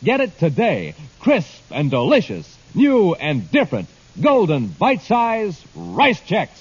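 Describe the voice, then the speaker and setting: A man's voice: high-pitched, wildly swooping pitch, a little slow. A man announcing and narrating in an emphatic, dramatic fifties radio style. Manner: emphatic, theatrical. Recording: noisy muffled old radio recording.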